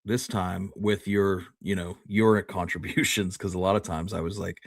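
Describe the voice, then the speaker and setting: A man chatting casually as a co-host and fellow researcher, in a halting, understated way. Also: low-pitched, little pitch movement, vocal fry, dry recording, clean studio sound. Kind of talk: casual chat about research.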